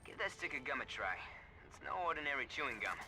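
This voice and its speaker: A man's voice: mid-range voice, sing-song, quick pace, rough recording. A man playing a playful, wry gadget tech on a spy team in a video game cutscene. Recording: music behind the voice.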